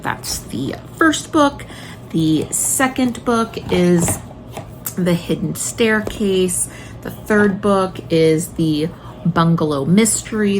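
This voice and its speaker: A woman's voice: fairly low pitch, noisy background. A woman in her late thirties chatting casually and enthusiastically to viewers as she recommends books, with emphatic, informal delivery. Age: late 30s. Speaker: homeschooling mother and video host.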